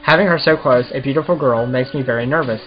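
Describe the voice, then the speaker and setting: A man's voice: medium pitch, quick pace, muffled recording, faint music behind. A man doing a casual let's play, reading visual novel text aloud and commenting as the host of a gaming video.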